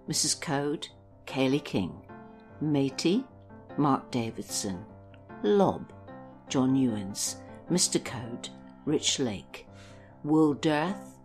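A woman reading scripted radio play credits in a measured, formal manner as director and announcer. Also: rumbling low, darkish tone.

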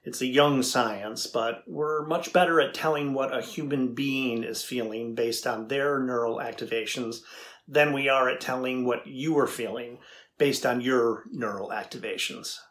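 A middle-aged man playing a thoughtful AI researcher in a dramatized interview, deliberate and conversational as he probes. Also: mid-range voice, fairly bright tone, close to an everyday voice. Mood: explanatory, thoughtful. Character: thoughtful male AI researcher questioning a machine. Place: indoor room with backdrop, seated.

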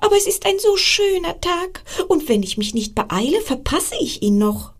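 A woman doing a high, eager little squirrel boy. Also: big pitch swings, normal speed, full character voice.

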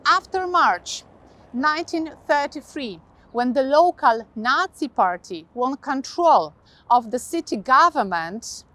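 A woman in her thirties with a Polish accent, giving a scripted historical presentation outdoors to camera on a lapel mic, in a measured, deliberate way. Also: high-pitched, a bit bright. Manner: measured, slow, deliberate delivery. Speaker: guide. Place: outdoors by a modern building.

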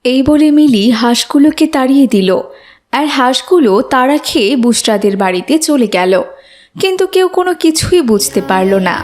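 A woman's voice: sits high, expressive, moderate pace, darkish tone. A woman reading scripted narration for a children's moral story.

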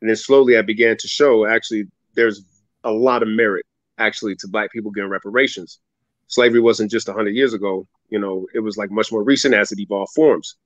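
Animated storytelling man on a video call. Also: on the low side, fairly quick, dry recording. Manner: animated, dramatic storyteller who acts out quoted voices. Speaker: guest speaker. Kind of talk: storytelling interview answer. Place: home room with ceiling fan, indoors. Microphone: video call webcam. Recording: dull, dry video call audio.

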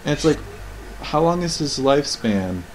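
A man's voice: on the high side, sing-song, moderate pace, a little hiss or hum, poor audio. A man giving casual, opinionated commentary on game cutscenes, rambling and musing in questions. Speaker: video game reviewer. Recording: voice-over with game music behind.

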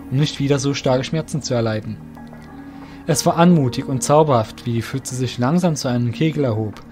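A man reading scripted narration for an audio drama in a measured storytelling way. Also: mid-range voice, darkish tone.

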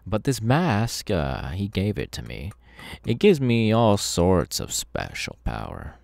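Man acting creaky, gleefully sinister masked henchman. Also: lowish voice, huge pitch swings, darkish tone, dry recording, full character voice. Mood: chatty, sinister. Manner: halting, rambling, mock-soothing menace. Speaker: ASMR voice actor. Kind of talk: ASMR horror audio roleplay.

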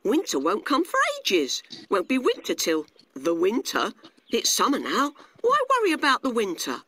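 A man voicing a carefree, boastful grasshopper in a children's storybook, breezy, matey and excitable. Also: sits high, moderate pace, dry recording, voice pushed up, exaggerated voice. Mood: puzzled, teasing. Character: carefree, boastful young male grasshopper, cheeky and chatty.

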